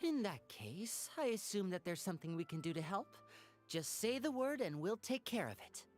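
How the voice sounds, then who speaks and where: A woman voicing a calm, polite young stage performer in a video game, measured and courteous. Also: mid-range voice, faint music behind, close to an everyday voice.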